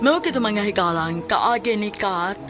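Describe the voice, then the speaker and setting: A woman's voice: medium pitch, expressive, moderate pace. A woman with a Cebuano accent presenting a radio drama in scripted narration. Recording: loud music behind the voice.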